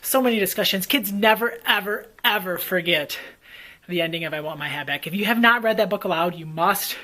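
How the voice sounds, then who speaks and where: Bright, forceful American man, emphatic vlogger. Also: high voice, fairly quick. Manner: enthusiastic, emphatic, repeats himself for emphasis. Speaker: elementary school teacher and book reviewer. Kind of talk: casual vlog book recommendations. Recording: faint background noise, ambient sound.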